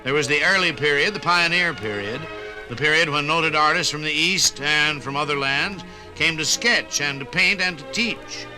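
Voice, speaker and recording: A man narrating a scripted 1950s radio documentary in a measured, formal announcer style. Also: fairly high pitch, poor audio. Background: music.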